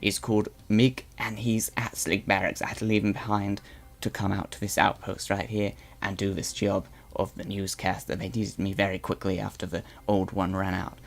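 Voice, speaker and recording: A man's voice: on the low side, little pitch movement, normal speed, creaky. A man voicing a boastful male Slig guard turned propaganda newscaster, with a weird put-on accent and a rambling, stammering way of talking. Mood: blustery. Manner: blustery, rambling with stammered restarts. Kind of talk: in-character live stream chat roleplay.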